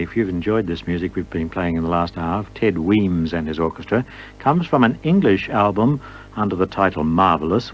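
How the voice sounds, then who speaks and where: A man's voice: low-pitched, a little hiss or hum. A man presenting a radio programme of vintage music in the manner of a show host. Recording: dull lo-fi radio recording, music.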